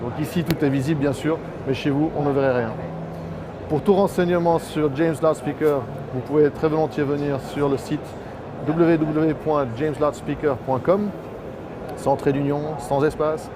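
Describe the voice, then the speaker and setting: Male product presenter, slightly high voice, explanatory sales pitch, recorded on a noisy trade show floor. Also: fairly even intonation, normal speed. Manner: explanatory, promotional. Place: open exhibition stand at trade show.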